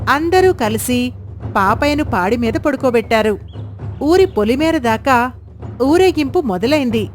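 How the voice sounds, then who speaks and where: Female narrator, high register, scripted storybook delivery, faint music underneath. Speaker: narrator of moral stories.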